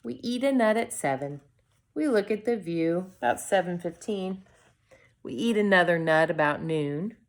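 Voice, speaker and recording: A woman reading a storybook aloud to children, expressively, with deliberate dramatic pauses and short asides to her viewers. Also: fairly low pitch, animated.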